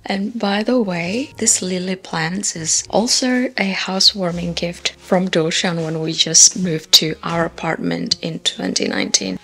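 A woman's voice: fairly low pitch, fairly bright tone. A woman in her thirties chatting cheerfully to a handheld camera in her home, recapping a party. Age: 30s. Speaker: vlogger. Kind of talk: casual vlog talk to camera. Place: home living room with plants, indoors. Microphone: handheld camera at close range. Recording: background music under voice.

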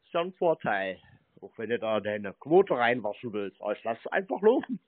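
A man's voice: mid-range voice, sing-song, moderate pace, no room sound. A man making a teasing prank phone call, mocking and crude, tossing out cheeky pet names. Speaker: scambaiting prank caller and show host. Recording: dry, band-limited phone-call recording.

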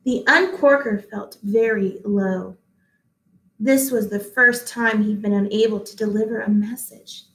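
A woman in her thirties reading a picture book aloud to children, deliberate and expressive, pausing to address listeners at home. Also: medium pitch, slight background noise. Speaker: read-aloud host, teacher. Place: small indoor office-like room. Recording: echoey small room.

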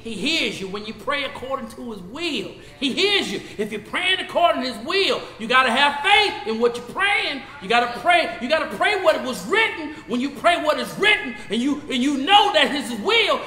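A man in his fifties with a Southern US accent teaching a Bible study as pastor. He swings from shouting to low talk, recorded in a church sanctuary on a lapel mic. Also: big pitch swings, moderate pace, few pauses, reverberant, big-room sound. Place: church sanctuary, seated before a projection screen.

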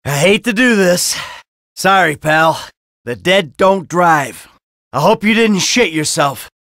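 A cynical middle-aged American gangster from a video game, sardonic and easily irritated. The voice is sharp and gritty with a creaky edge, recorded very dry. He delivers short, blunt tough-guy lines without hurry. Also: high-pitched, lively intonation, slow, no room sound, full character voice. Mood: dry, menacing.

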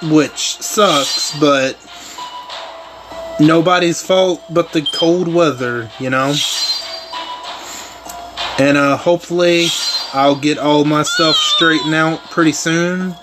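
A man hosting a gaming channel from the eastern United States, chatting casually and rambling as he plays, a little hoarse and clearing his throat. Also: fairly high pitch, steady tone, takes it slowly, long pauses, poor audio. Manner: rambling, laid-back storytelling. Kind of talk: casual chat over gameplay. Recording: game music loud behind voice.

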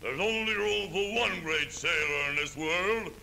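An actor voicing a huge bullying sailor villain in a 1930s cartoon, booming and boastful. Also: male voice, a little slow, vocal fry, noisy background. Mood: menacing. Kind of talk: 1930s theatrical cartoon voice acting, half-sung boasts. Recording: old film soundtrack with loud music.

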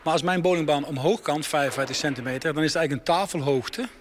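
Businessman interviewee, fairly high voice, plain earnest explaining, on-location radio at a bowling alley with steady background noise. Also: fast. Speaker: bowling lane entrepreneur. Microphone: handheld reporter mic. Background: bowling alley ambience.

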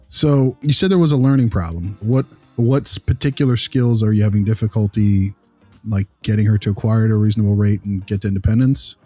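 A man's voice: fairly low pitch, steady tone, brisk, dark tone, dry recording, faint music behind. A man in an improvised role-play as a consulting analyst, talking casually and asking probing questions.

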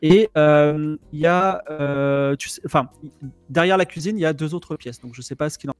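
A man's voice: a little high, moderate pace, clear, resonant tone, dry recording, faint music behind. A man, narrating as game master, hesitant and full of fillers, at a studio mic at home. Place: home room with bookshelves. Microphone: headphones and studio microphone.

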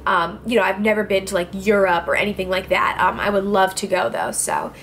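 A woman's voice: medium pitch, a little fast, fairly bright tone. Twenty-year-old woman, beauty vlogger, chatty and filler-heavy, close to camera, faint hiss behind. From New Jersey. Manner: chatty, filler-heavy, lots of "like" and "I don't know". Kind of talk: casual Q&A vlog. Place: indoors, small room with clothes rack behind. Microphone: camera facing her at close range.

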